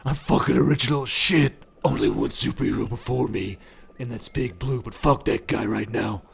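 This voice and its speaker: A man's voice: mid-range voice, normal speed, voice pushed down, full character voice. A man voicing a crude, irritable Batman in an improvised comedy sketch, blunt and sarcastic, swearing freely. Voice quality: gravelly, husky.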